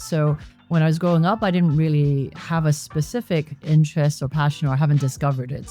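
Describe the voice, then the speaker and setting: A woman's voice: deep voice, dark tone. A woman from Hong Kong, a guest on an interview podcast, who explains her views in a reflective, thoughtful way. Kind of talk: conversational interview answers. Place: members' club lounge.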